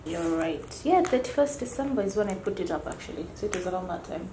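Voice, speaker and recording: A young woman with a slightly deep voice, chatting casually as a student vlogger into a handheld camera or phone. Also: slight background noise. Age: young adult. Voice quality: deeper voice for a woman. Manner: chatty, relaxed, drawn-out fillers. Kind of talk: casual vlog chat. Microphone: camera or phone held by herself.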